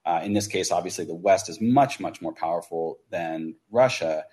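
Low-voiced man calling in. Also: a little mellow. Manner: measured, hedging with "sort of". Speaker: caller. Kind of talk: call-in talk show.

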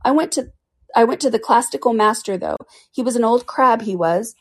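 A woman's voice: fairly high pitch, animated, fairly quick, husky, voice pushed up. A woman voicing an impatient male Griffin in a storybook reading, brusque and commanding. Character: gruff, impatient male Griffin, bossy creature.

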